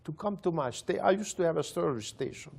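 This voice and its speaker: An older man from Hungary with a Hungarian accent, telling stories in halting, broken English and laughing often. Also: fairly high pitch, expressive, gravelly, a little mellow. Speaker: panelist. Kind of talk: casual storytelling.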